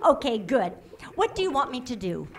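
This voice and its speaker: A woman's voice: a little high, big pitch swings, moderate pace, a little hiss or hum. A woman voicing an earnest, naive young female assistant who is polite and fussy. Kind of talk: comic radio play acting.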